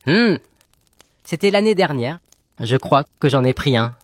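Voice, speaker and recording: A man's voice: on the high side, animated, unhurried, dry recording. A man playing a sheepish, hesitant young son in a children's cartoon fairy tale.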